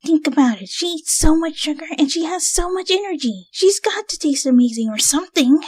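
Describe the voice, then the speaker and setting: A woman voicing a brash, eager tomboy cartoon pony with a cocky, excitable manner. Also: sits high, brisk, no echo, pitched above the performer's own voice. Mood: craving.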